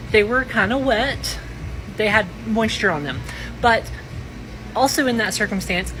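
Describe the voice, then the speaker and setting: A woman telling a casual personal anecdote in a deliberate, deadpan way. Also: on the high side, low-quality recording. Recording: music behind the voice.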